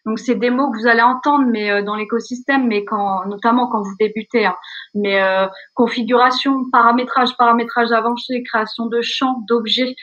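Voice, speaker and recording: A woman's voice: fairly high pitch, fairly even intonation, moderate pace, fairly bright tone, lo-fi sound. A woman recruiter explaining what companies look for, speaking on a video call in a webinar. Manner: explanatory, lists key points. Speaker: recruiter, headhunter. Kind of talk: webinar presentation.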